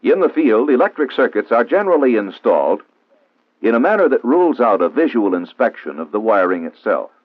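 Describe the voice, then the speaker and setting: An American man reads a scripted instructional narration in a formal, measured, didactic way, in the style of a 1960s training film. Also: mid-range voice, very animated, no echo. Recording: old 1960s film soundtrack, narrow phone-like band, dry.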